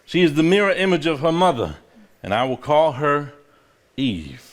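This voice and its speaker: An actor as a tender, formal diary reader, higher-pitched man. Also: big pitch swings, moderate pace. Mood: grieving. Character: sentimental man reading a grieving father's diary aloud. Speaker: stage actor. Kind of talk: live stage play, reading an old diary aloud.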